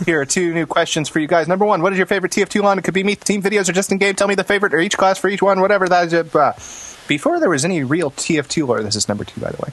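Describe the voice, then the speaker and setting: A man's voice: sits high, racing, fairly bright tone. A man hosting a podcast in casual, joking chat with a dry, sarcastic manner. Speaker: podcast host.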